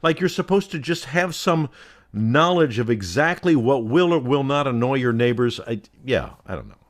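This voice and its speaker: A man's voice: medium pitch, lively intonation, moderate pace. A middle-aged man co-hosting a podcast, with a resonant voice that carries, telling rambling, theatrical anecdotes in casual chat. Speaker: podcast co-host.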